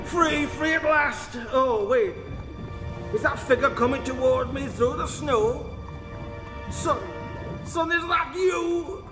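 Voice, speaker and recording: A man's voice: extremely high pitch, takes it slowly. A man playing a poor, loving, overwrought father in a radio play, calling out melodramatically. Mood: yearning, dramatic. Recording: reverberant hall under clear music.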